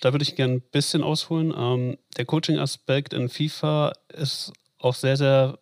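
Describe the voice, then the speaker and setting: A man's voice: mid-range voice, fairly even intonation, moderate pace, no echo, studio quality. A man coaching an esports football team, answering interview questions at length with frequent fillers. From Germany. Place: Ruhr area.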